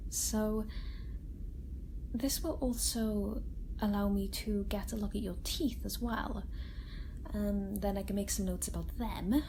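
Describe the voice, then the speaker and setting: Kind female sci-fi doctor, played by a woman: soft ASMR delivery, unhurried, gentle and reassuring, faint ambient bed. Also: mid-range voice. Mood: calm, instructive. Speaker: ASMR roleplay performer. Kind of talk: soft-spoken ASMR roleplay. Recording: steady ambient noise bed. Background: sci-fi room ambience.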